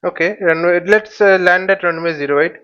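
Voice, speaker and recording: A man giving an informal flight simulator tutorial, explaining haltingly as he thinks aloud. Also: sits high, a bit bright, smooth, dull audio. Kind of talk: informal tutorial commentary while flying a simulator.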